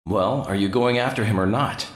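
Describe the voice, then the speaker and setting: A man's voice: lowish voice, moderate pace, lightly acted. A man voicing an earnest, heroic young vampire hunter in a video game, speaking with emphatic sincerity. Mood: encouraging. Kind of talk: dramatic video game voice acting. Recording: echoey, reverberant game audio.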